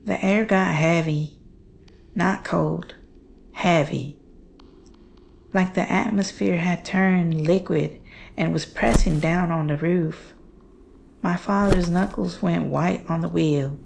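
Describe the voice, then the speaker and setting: A woman playing a haunted daughter recounting a horror story in hushed, halting, measured phrases. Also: lowish voice, creaky, a little hiss or hum. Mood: haunted, dread. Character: Jane, haunted woman recounting her family's monster encounter. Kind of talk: slow scripted horror storytelling.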